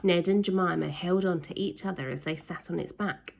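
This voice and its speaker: A woman reading a children's book aloud as an expressive storyteller. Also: on the low side, a little fast, gravelly.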